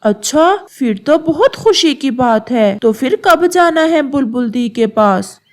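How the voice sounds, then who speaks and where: A woman voicing a gentle, devoted male pigeon husband in a Hindi moral-story cartoon, speaking in an earnest, consoling way. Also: high voice, expressive, takes it slowly. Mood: tender.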